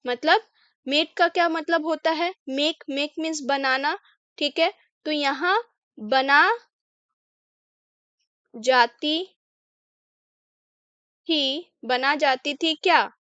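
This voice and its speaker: A young Indian woman with a high voice teaches an English lesson slowly and patiently. She works through a text line by line, puts a question to her listeners and then answers it herself. The sound is close and dry, with no room echo. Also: bright, forceful tone, muffled recording. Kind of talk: slow line-by-line English lesson explained in Hindi.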